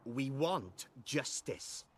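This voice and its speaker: A rough-spoken male villager in a fantasy game, resentful and rustic. The voice is husky and swings in pitch. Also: animated, drawn-out words, full character voice. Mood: grumbling. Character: resentful, rough-spoken peasant man demanding justice. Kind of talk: video game dialogue acting.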